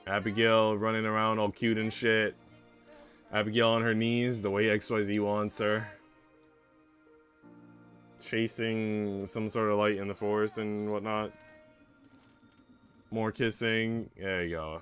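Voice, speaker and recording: Mid-low male streamer, rambling and irreverent. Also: flat delivery, unhurried, pauses often, a bit bright, soft background music. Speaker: game streamer. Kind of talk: casual livestream chat and commentary.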